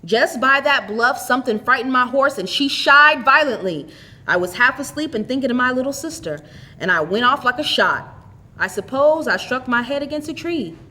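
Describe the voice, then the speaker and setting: Woman reading as a bright, hearty homecoming brother. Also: high-pitched, lively intonation, moderate pace, heavily acted. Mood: excited, breathless. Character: long-lost older brother returned home rich, hearty and eager. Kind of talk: dramatic storybook reading.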